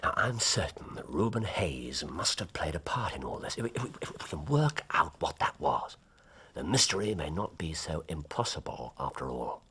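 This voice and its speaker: A man's voice: very deep voice, big pitch swings, moderate pace, fairly bright tone, slightly rough, exaggerated voice. An actor playing a shrewd, eccentric British detective in a period drama, speaking precisely and theatrically as he thinks aloud. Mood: musing, curious. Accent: British English. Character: shrewd, eccentric male detective, keen and secretive.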